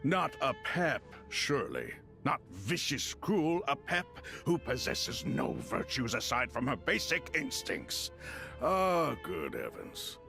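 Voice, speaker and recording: An actor playing a dramatic, fussy ancient being in a video game, with florid theatrical phrasing. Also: male voice, mid-range voice, wildly swooping pitch, slow, creaky, over music, heavily acted. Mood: indignant, dismayed. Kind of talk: game voice acting.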